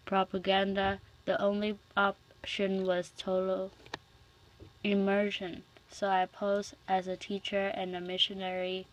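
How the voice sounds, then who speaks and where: A woman's voice: mid-range voice, rough recording. A young woman, a student, reading a speech aloud for class, carefully and with frequent restarts on words.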